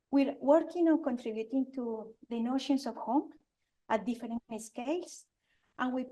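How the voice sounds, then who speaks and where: This is a woman from Colombia, in her forties, giving a talk to an audience, her voice sitting a touch higher than most. She has a clear Colombian Spanish accent and an earnest, halting delivery, often stopping to restart a phrase or add an um. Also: mellow, low-quality recording. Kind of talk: conference presentation. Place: lecture venue with audience.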